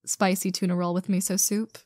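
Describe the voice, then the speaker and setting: A woman playing a haughty, sardonic actress in an audio drama, speaking in a cutting, deliberate, condescending manner. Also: fairly low pitch, flat delivery, mellow, no echo, clean studio sound, lightly acted. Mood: imperious. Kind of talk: scripted ASMR audio drama.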